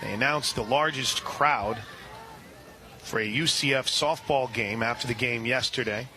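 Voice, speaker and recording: A man doing live radio play-by-play for a softball game, chatting between pitches and shouting on big plays. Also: a little slow, background noise. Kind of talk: live radio sports commentary.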